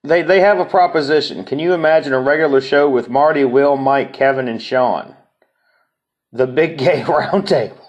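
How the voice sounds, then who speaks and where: A man's voice: mid-range voice, moderate pace, lo-fi sound. A man with a mocking manner gives an informal dramatic reading of online comments.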